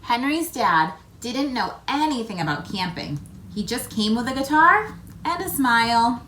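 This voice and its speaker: A woman's voice: on the high side, measured pace, poor audio. Woman reading to children, faint background noise. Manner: addresses children directly, expressive emphasis. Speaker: read-aloud host for children. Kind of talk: picture book read-aloud with chatty asides.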